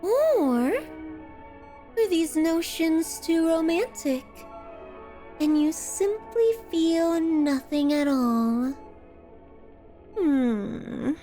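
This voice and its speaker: A woman's voice: very high voice, very animated, extremely slow, long pauses, warm tone, faint music behind, full character voice. A woman playing an obsessive, menacingly adoring female kidnapper in an ASMR roleplay, speaking in florid, formal, theatrical phrases. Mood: gloating, curious. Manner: florid, theatrical, formal diction, lingering over words. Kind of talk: scripted ASMR roleplay monologue.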